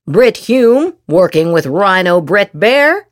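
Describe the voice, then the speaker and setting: A woman's voice: mid-range voice, big pitch swings, slow, dry recording, studio quality. A woman podcast host reading political social media posts aloud dramatically, with theatrical, exaggerated inflection.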